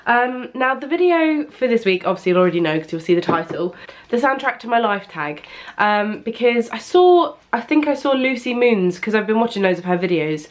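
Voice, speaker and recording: A woman's voice: on the high side, sing-song, a little fast. A young British woman vlogging at home, talking casually to a camera propped on an ironing board, her nose blocked with a cold and her talk full of ums. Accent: British English. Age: young adult. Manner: chatty, rambling, many ums. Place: at home, indoors.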